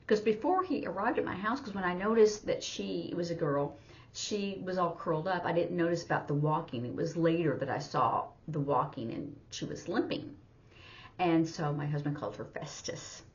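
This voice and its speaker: A middle-aged woman teaching through a casual story, talking in a rambling, conversational way. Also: on the low side. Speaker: sign language teacher. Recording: slightly dull, lo-fi audio.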